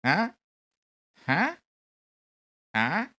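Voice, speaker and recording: A man's voice: fairly high pitch, huge pitch swings, very slow, sharp tone. Casual man hosting a playful live stream. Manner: informal, mildly sweary, playfully teasing the audience. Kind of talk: casual live quiz banter, reading out viewer names.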